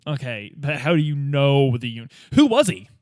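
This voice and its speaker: A man's voice: medium pitch, big pitch swings, normal speed, darkish tone, no room sound, studio quality. A man playing a role-playing game in casual chat, asking questions and thinking aloud.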